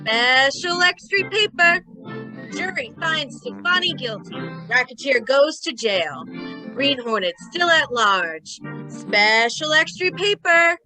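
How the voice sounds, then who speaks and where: A young street newspaper seller in an old-time radio drama. The cry is high and sharp, with words drawn out slowly. Music plays behind it. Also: female voice, animated, full character voice, low-quality recording. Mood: urgent, excited. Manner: drawn-out calling. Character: young newspaper hawker shouting headlines in the street.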